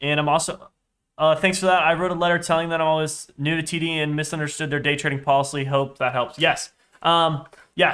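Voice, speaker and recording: A man's voice: on the high side, pushed and bright. A young man with a congested, stuffy voice, chatting casually on a live trading stream, rambling and correcting himself as he goes. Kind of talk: live-stream trading chat, reading chat aloud.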